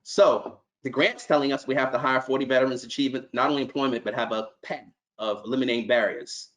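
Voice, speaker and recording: Middle-aged man, nonprofit executive, earnest and conversational, ordinary mid-pitched voice, restarts sentences. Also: steady tone, quick pace, a bit bright. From New York. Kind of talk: conference remarks on a call.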